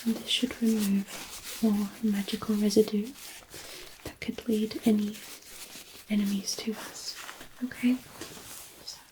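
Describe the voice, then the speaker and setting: A gentle fairy healer played by a woman speaks in a very slow, breathy whisper. She is attentive and soothing, as in a fantasy ASMR roleplay, with faint noise behind her. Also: mid-range voice, flat delivery, close to an everyday voice. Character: gentle caring female fairy healer tending a patient.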